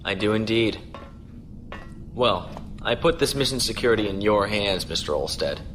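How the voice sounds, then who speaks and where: A male actor as an earnest starship captain in a space radio play, his voice on the low side. He is polite and formal, measured in delivery, with faint noise behind him. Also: fairly bright tone, lightly acted. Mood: courteous. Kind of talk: audio drama acting.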